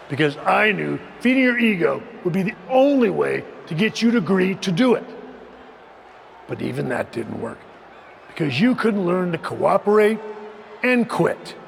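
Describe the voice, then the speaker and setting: Game role: exasperated wrestling boss, American, deep creak in a raised voice, emphatic scolding, big echoing hall. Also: male voice, exaggerated voice. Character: exasperated, stern veteran wrestler turned boss. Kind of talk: wrestling promo acting. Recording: booming arena reverb, faint noise.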